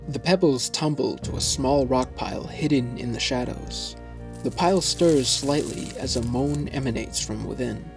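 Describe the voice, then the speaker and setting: A man narrating a scripted fantasy story in a measured, descriptive way. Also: mid-range voice.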